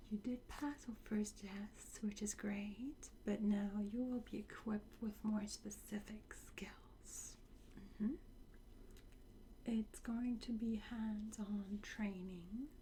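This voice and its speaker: Slow, whispering woman in ASMR roleplay. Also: mid-range voice. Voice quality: breathy whisper. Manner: slow, gentle, reassuring, with soft pauses and hums. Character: calm, courteous woman training time-travel recruits. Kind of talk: soft whispered ASMR roleplay, addressing the listener. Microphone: close ASMR microphone. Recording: close whisper with clear background noise.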